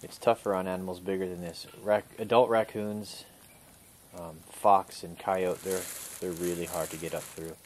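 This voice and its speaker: A man calmly instructing a student step by step during an outdoor survival skills demonstration, recorded on a camera mic. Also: sits low, normal speed, a little hiss or hum. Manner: calm, instructive, talks through each step. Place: outdoors in a wooded clearing.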